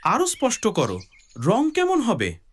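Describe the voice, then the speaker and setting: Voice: medium pitch, wildly swooping pitch, measured pace, slightly rough, pitched above the performer's own voice, exaggerated voice. A performer voicing a skeptical, demanding villager who keeps questioning in a cartoon religious story. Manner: insistent, questioning.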